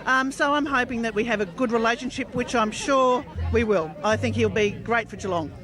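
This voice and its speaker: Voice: mid-range voice, fairly quick, fairly bright tone. A community leader answering questions in a news interview. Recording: crowd chatter, outdoor urban location.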